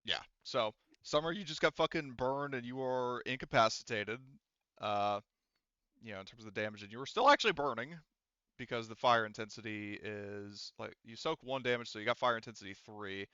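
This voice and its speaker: A man's voice: mid-range voice, fairly quick, pushed and bright, smooth, no echo, highs cut off. A man talking casually and with some swearing as game master, explaining rules to players at a tabletop game. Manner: casual, profane.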